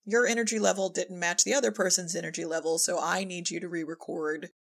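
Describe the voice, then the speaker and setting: Low-pitched woman with vocal fry. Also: steady tone, quick pace. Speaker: audio drama director and podcast guest. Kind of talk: casual conversational interview.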